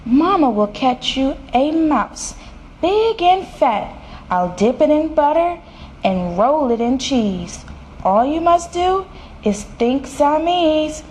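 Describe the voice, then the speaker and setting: A woman voicing a stern but fond mother cat in a children's storybook, firm and coaxing with drawn-out words. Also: mid-range voice, very animated, darkish tone, a little hiss or hum.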